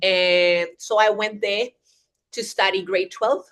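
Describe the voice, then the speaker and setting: A woman's voice: medium pitch, steady tone, slow pace, fairly bright tone. A young woman from Colombia, an English teacher, talking through her career in a casual interview over a video call, with frequent "eh" fillers and restarts. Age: young adult. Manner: chatty, lots of restarts and "eh" fillers.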